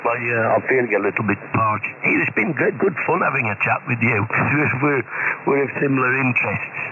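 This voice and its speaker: A man's voice: medium pitch, lively intonation, normal speed. A British man, middle-aged or older, chatting casually and anecdotally as an amateur radio operator over shortwave. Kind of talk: casual rambling radio chat. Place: two-way radio link heard on receiver. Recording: noisy narrowband shortwave ham radio.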